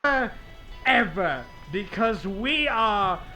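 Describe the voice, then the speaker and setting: A man playing an excitable, theatrical gamer in a comedy skit, switching between bombastic announcing and offhand asides. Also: high voice, slow, soft background music, exaggerated voice. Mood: bombastic, excited. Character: excitable, theatrical male gamer recording his show intro. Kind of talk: comedic animated audio skit.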